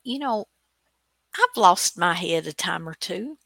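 Woman radio cohost chatting casually, lowish creaky voice, dry close sound. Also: expressive, takes it slowly, bright, forceful tone, no room sound. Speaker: radio show co-host. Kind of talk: casual chat.

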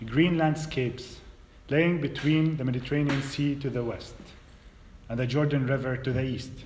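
A young man from Palestine with a mid-pitched voice delivers a prepared personal talk. It begins with hesitant fillers and moves into steady storytelling. The sound carries a long echo in a large hall, with faint hum behind it. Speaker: guest speaker.

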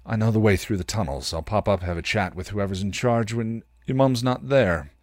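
A man's voice: lowish voice, normal speed, a little mellow, no echo, studio quality, close to an everyday voice. A man voicing a cocky, protective male vampire in a story reading, slangy and offhand. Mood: helpful.

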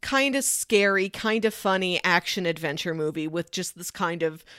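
A woman's voice: fairly low pitch, lively intonation, normal speed, a bit bright, clear, resonant tone, no echo, studio quality. A woman co-hosting a podcast, chatting casually about films and peppering her explanations with "like". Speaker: podcast co-host. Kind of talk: casual film discussion chat.

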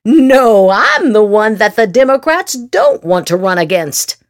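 Theatrical woman with wildly swooping pitch. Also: very animated, a little slow, dry recording, studio quality. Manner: theatrical, exaggerated inflection. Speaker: podcast host. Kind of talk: dramatic read-aloud of political social media posts.

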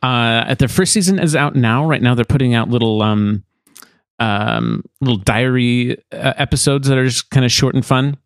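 A man hosting a podcast in casual chat, often halting as he explains things. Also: mid-range voice, no echo, clean studio sound. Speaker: podcast host.

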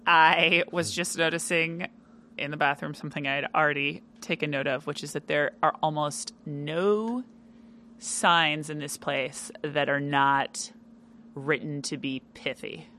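A woman chatting casually as a podcast guest, with a loud, animated delivery full of fillers. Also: low voice, unhurried, a little hiss or hum. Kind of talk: casual interview chat.

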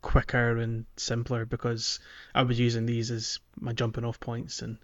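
A man's voice: medium pitch, completely flat delivery, moderate pace, a bit bright, lo-fi sound. A man hosting a podcast, casually discussing a video game and feeling his way through explanations in a hesitant, meandering manner. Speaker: podcast host.

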